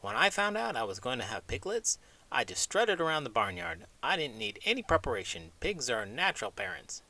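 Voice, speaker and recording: A man's voice: mid-range voice, big pitch swings, a little fast, sharp tone, full character voice. A man voicing a cocky, carefree talking pig with a boastful, teasing manner in a children's show.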